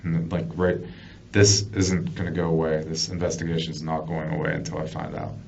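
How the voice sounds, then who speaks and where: A man's voice: extremely low pitch, completely flat delivery, fairly quick, darkish tone, distant mic. A man working as a police detective, conducting an interrogation with blunt, persistent, repeated questions. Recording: muffled lo-fi interview recording, clear background noise.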